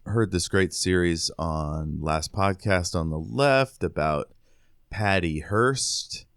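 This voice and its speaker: Deep, smooth American man, closely recorded. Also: takes it slowly, a bit bright, dry recording, studio quality. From United States. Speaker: podcast co-host. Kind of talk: casual book discussion.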